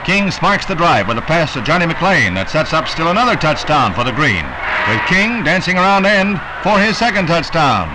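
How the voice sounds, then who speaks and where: A man narrating a 1960s newsreel in a brisk, emphatic announcer delivery. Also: on the high side, very animated, heavy background noise. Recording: old newsreel soundtrack with loud music. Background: loud music score.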